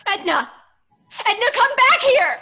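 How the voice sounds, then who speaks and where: A woman's voice: extremely high pitch, big pitch swings, unhurried, sharp tone, exaggerated voice. A woman playing a sensible, firm, protective young woman, earnest and emphatic, in old-time radio drama style. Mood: panicked.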